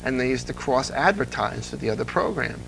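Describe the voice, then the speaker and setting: A man's voice: medium pitch, lively intonation, a little fast, creaky, fairly bright tone, soft background music, rough recording. A man in his thirties chatting with enthusiasm and telling anecdotes as an interview guest. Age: 30s. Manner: enthusiastic, chuckles while talking, acts out quotes. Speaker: interview guest, old-time radio enthusiast.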